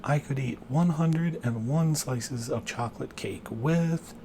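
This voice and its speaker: A man's voice: mid-range voice, slow, a little mellow, slight background noise, voice pushed up, close to an everyday voice. A man voicing a cheerful, hungry husband in a children's storybook, exclaiming and drawing out words. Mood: eager.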